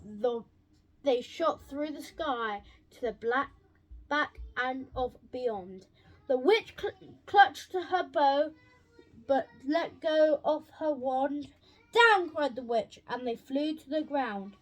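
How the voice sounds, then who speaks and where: A woman reading a rhyming children's picture book aloud, haltingly, stumbling and repeating words as she goes. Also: high-pitched, animated, rough recording. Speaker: storybook reader.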